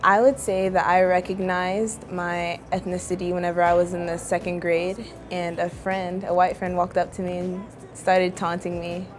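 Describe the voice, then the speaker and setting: Reflective young woman with a lower voice. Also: little pitch movement, normal speed, hardly any pauses, a little hiss or hum. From Louisiana, United States. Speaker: interviewee. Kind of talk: personal testimony to camera.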